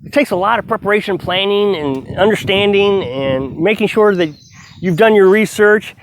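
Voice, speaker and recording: A man's voice: high-pitched, moderate pace, a little hiss or hum, poor audio. A middle-aged man vlogging outdoors on his homestead, talking casually and earnestly while he splits firewood. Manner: rambling, earnest, self-reflective.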